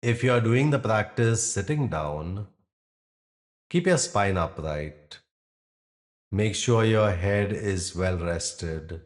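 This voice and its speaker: A man guiding a yoga nidra meditation in a soothing, instructional manner with pauses between phrases. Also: on the low side, a little mellow. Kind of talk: guided meditation narration.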